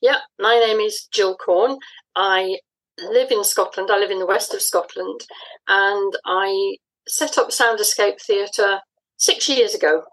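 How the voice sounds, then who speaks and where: A woman with a mid-pitched, slightly husky voice talks as a guest in an interview. She explains things conversationally and reflectively, measuring out her phrases with pauses between them. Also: fairly bright tone, rough recording. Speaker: interview guest, audio dramatist.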